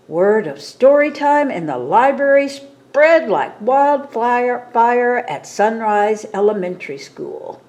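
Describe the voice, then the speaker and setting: A woman reading a picture book aloud to children as a storytime reader, in a dramatic, expressive manner. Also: on the high side.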